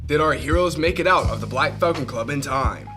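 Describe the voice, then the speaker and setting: A man's voice: fairly high pitch, a little fast, over loud music. A young man narrating in the style of a 1940s radio serial announcer. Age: young adult.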